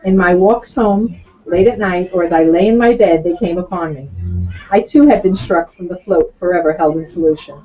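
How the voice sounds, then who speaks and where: Mellow-voiced Brooklyn woman reading poetry on a call. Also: on the low side, moderate pace, highs cut off. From Brooklyn, New York. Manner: warmly agreeable, reads poetry steadily. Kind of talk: casual chat and poetry reading.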